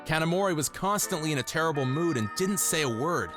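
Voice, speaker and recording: A man acting as a loyal young office worker telling his story in a manga dub. His voice is on the high side and very animated, earnest and quick to get riled. Music runs clearly behind him. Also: natural voice. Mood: narrating. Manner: earnest, exasperated when provoked. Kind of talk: dubbed manga narration and dialogue. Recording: voice over background music.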